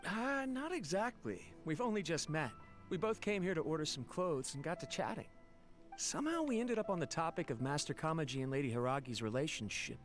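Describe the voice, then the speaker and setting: A man's voice: a little high, sing-song, brisk. An actor playing a friendly, polite and eager young male attendant in an anime video game. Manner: polite, chatty, earnest. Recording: music behind the voice.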